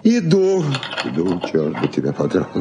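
An actor playing a kindly, henpecked old uncle with a husky voice and flustered, repetitive speech in a radio play. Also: male voice, low voice, huge pitch swings, moderate pace, very noisy. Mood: hurried, grumbling. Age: older. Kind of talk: Soviet radio play acting.

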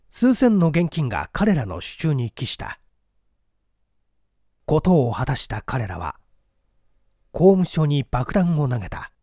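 A man reading a mystery story aloud as dramatic literary narration, with deliberate, theatrical phrasing. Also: mid-range voice, warm tone, no room sound, studio quality. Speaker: story reader.

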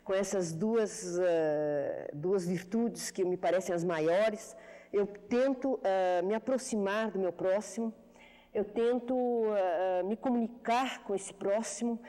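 A woman in her fifties, a writer being interviewed on television, answering in a measured, reflective way with frequent hesitations. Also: medium pitch, warm tone, big-room sound, low-quality recording. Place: television studio. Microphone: lapel mic.

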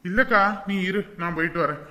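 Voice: mid-range voice, animated, quick pace, bright, forceful tone. A performer playing a weary, irritable younger brother and fed-up husband, grumbling and long-suffering in a comic family skit.

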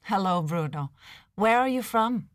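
Woman doing a friendly young gal in a textbook chat, slow and clear, dry sound. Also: mid-range voice, animated, dry recording, natural voice. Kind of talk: coursebook dialogue reading.

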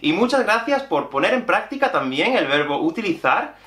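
Lively teacher, bright voice. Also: male voice, high-pitched, normal speed, slight background noise. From Spain. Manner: animated, gestures constantly while explaining. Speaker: Spanish teacher. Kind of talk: online language lesson. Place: small room with bookshelf and whiteboard. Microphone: camera at mid-shot distance. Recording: echoey small room.

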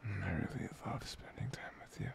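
A man performing an intimate boyfriend ASMR roleplay, murmuring softly and tenderly, breathy and often dropping to a whisper. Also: fairly low pitch. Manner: soft, tender, murmuring with long pauses.

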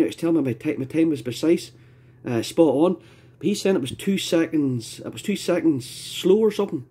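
A Scottish man speaks in a husky, slightly mellow voice, fairly high for a man. He chats casually in the manner of a radio amateur talking on air. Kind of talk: casual two-way radio chat. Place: indoors at a home radio desk.

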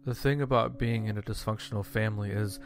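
Measured male narrator, fairly even pitch. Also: background noise. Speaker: story narrator. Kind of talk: scripted first-person horror story narration. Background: music.

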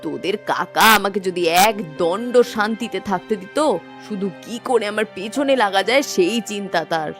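A woman's voice: medium pitch, normal speed, fairly bright tone, close to an everyday voice. A woman voicing a middle-aged, nagging yet hospitable village housewife in a cartoon story. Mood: aggrieved. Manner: complaining, homely. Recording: background music bed.

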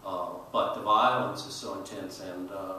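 Older male panel historian, measured and halting, low-pitched, heard at a distance with faint noise. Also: steady tone, sharp tone. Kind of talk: storytelling panel talk to an audience. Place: large auditorium stage. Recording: roomy echoey hall, distant mic, faint noise.

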